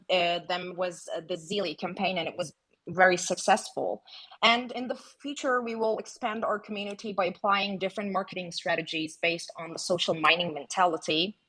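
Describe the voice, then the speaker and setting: Husky woman with halting delivery. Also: medium pitch, pushed and bright, rough recording. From Greece. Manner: hesitant, frequent uh and um fillers. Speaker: guest, marketing officer. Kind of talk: prepared explanation on a podcast.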